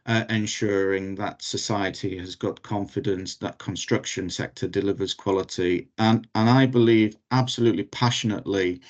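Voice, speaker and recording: A man introducing himself as a panel member on a webinar, earnest and stumbling with many fillers. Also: fairly low pitch. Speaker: panelist, industry group chair.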